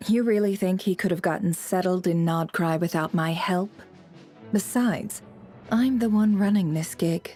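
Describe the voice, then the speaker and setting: A woman's voice: fairly low pitch, unhurried, dark tone, faint music behind. A woman voicing a shrewd, sardonic intelligence boss in a video game, composed and dry. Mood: cool, businesslike.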